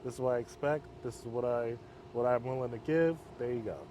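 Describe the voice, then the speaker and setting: A man speaking as an interview guest, a halfway home counselor, in a calm, measured, fairly monotone way. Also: medium pitch. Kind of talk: casual conversation. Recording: outdoor street noise.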